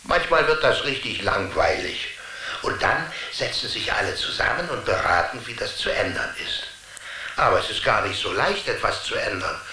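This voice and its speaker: An actor playing a touchy, talkative television character in a children's radio play, explaining things theatrically. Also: male voice, fairly low pitch, expressive, normal speed, sharp tone, reverberant, slight background noise. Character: touchy, talkative male TV character living inside the screen.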